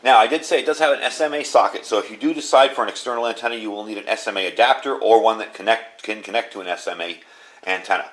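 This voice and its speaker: A man talks in a fairly low voice. He reviews a product casually, in a plain-spoken, conversational way, indoors at a table. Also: fast, a bit bright. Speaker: host reviewing gadgets. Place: small indoor room at a tabletop.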